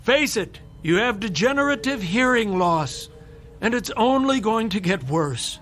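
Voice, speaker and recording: An actor playing a brisk, wry, persuasive hospital doctor in a radio drama, dry and insistent. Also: male voice, sits high, expressive, fairly bright tone, faint music behind.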